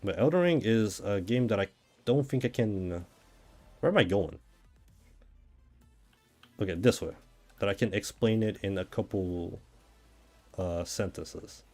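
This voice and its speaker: A man with a Brazilian accent talks casually while streaming a game. His voice sits fairly low and has a soft, dark tone. He rambles and thinks aloud, with faint music playing behind him. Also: a little slow, long pauses. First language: Portuguese. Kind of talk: casual chat while gaming.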